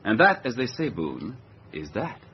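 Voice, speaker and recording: A gruff submarine captain in a radio play, his delivery swinging widely from dry sarcasm to barked command. He is short-tempered and exasperated. The recording is dull and lo-fi, with a light hiss. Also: medium pitch, wildly swooping pitch, a little slow. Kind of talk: radio drama acting.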